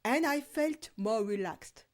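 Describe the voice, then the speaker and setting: A woman speaking as an interview guest, measured and reflective. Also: on the high side, animated. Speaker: guest, co-author. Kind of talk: casual interview talk.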